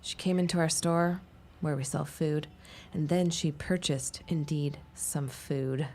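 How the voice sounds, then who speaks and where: Casting: sardonic young 1910s woman, lowish and gravelly, stubborn, radio-drama delivery. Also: steady tone, a little mellow, a little hiss or hum. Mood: exasperated, sardonic. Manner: sardonic, quick-tongued. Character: wry, stubborn young shopkeeper's daughter and out-of-work teacher. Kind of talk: period radio-style audio drama acting.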